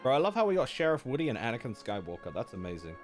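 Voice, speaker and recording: A man's voice: medium pitch, sing-song, rapid-fire. A man reacting to a game on a live stream, casual, joking and slangy. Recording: faint game music behind.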